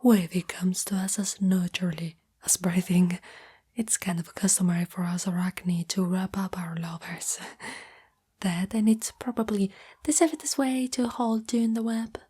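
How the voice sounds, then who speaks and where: A woman from Italy voicing a shy, loving spider girl in a soft, hesitant whisper for an intimate ASMR roleplay. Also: medium pitch, fairly even intonation, moderate pace, breathy edge. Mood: gentle, intimate.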